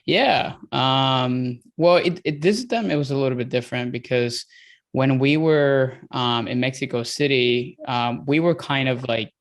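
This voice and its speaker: A man's voice: mid-range voice, unhurried. A man from Venezuela in his thirties with a Venezuelan accent, a dietitian guest talking casually in an interview, rambling with many fillers and restarts.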